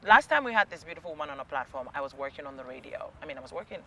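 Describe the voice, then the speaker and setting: A woman's voice: low voice, animated, very fast, sharp tone. A young woman hosting a casual outdoor interview show, upbeat and laughing often. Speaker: talk show host. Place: outdoors in a sunny garden by palm trees.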